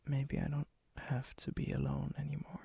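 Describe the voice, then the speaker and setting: A man performs a melancholy vampire in an intimate ASMR roleplay. His voice is a breathy, gravelly whisper, kept very even and subdued. It sits close and dry, halting as if weighed down by loneliness and remorse. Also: medium pitch, no echo, full character voice. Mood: tender, hopeful. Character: lonely, brooding, remorseful vampire, softening toward a stranger.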